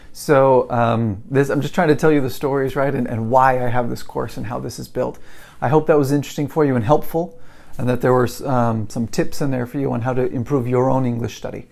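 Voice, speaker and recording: Middle-aged male English teacher, gravelly voice, storytelling with emphatic repetition. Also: mid-range voice, a little mellow. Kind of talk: storytelling to learners.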